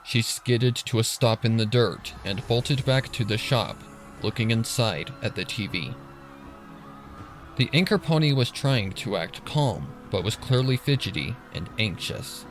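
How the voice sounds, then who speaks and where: A man's voice: mid-range voice, lively intonation, a little slow. A man reading a scripted story as a dramatic narrator. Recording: narration over music and sirens.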